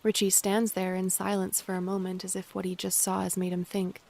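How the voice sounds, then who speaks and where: A woman's voice: medium pitch, monotone, fairly quick, vocal fry, a little mellow. A woman narrating an audio drama, reading out scripted stage directions.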